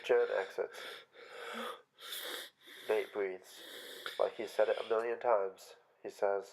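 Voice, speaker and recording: A man's voice: on the low side, steady tone, takes it slowly, darkish tone. A man reading stage directions as scripted narration for a play.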